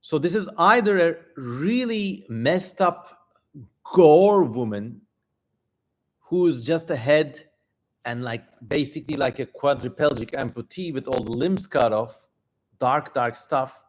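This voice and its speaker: A man's voice: on the high side, expressive, slow pace. A man hosting a livestream, giving irreverent, slangy and theatrical commentary on a dramatic reading. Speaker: livestream host.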